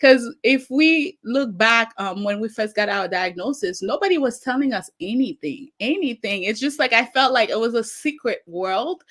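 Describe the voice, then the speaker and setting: A woman's voice: on the high side, animated, normal speed, hardly any pauses, a bit bright. A middle-aged woman with a Haitian accent, a community advocate speaking as a guest in a casual conversation, earnest and passionate, repeating points for emphasis.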